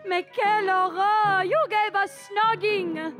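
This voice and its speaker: A woman's voice: extremely high pitch, fairly even intonation, measured pace, music in the background, voice pushed up. A woman playing a haughty, theatrical French chanteuse with a put-on French accent, singing comic cabaret songs. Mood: mocking song. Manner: camp, mocking, flamboyant, sung with musical backing. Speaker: cabaret performer. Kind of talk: comic cabaret song and patter.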